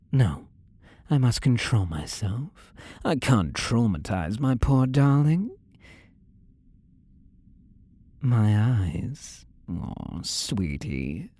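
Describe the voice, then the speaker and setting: A man's voice: fairly low pitch, warm tone, husky, no room sound, full character voice. A man playing a possessive demon-possessed ex-boyfriend in a scripted roleplay audio drama, condescending and teasing with coaxing endearments. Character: controlling, possessive demon-possessed ex-boyfriend, smug and menacingly tender.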